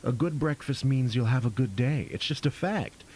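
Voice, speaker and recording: A man's voice: medium pitch, lively intonation, moderate pace, a little mellow, slight background noise, lightly acted, poor audio. A man playing a charming, confident, protective boyfriend in an audio drama. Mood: charming, smooth.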